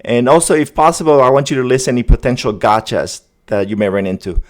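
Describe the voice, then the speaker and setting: A man's voice: medium pitch, steady tone, fast talker. A man hosting a casual, conversational demo, talking viewers through each step as he goes. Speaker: host demonstrating an AI agent setup. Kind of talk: casual screen-demo narration.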